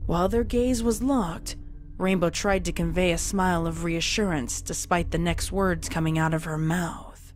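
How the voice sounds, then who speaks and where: A woman's voice: on the low side, fairly even intonation, normal speed. A woman reading scripted fan fiction as a dramatic story narrator.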